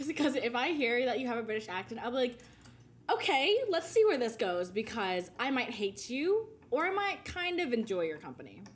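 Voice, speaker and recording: A woman's voice: fairly high pitch, sing-song, moderate pace. A woman co-hosting a podcast in casual chat, blunt and profane, often laughing. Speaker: podcast co-host.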